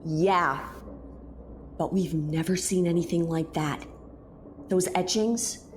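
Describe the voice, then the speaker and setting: Actress as jumpy, snappish ghost-ship investigator, noisy background. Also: female voice, on the low side, steady tone, takes it slowly. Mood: wary, sarcastic. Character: spooked, irritable young woman ghost-ship investigator, Dana. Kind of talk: audio drama acting, naturalistic dialogue. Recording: clearly noisy, with background ambience.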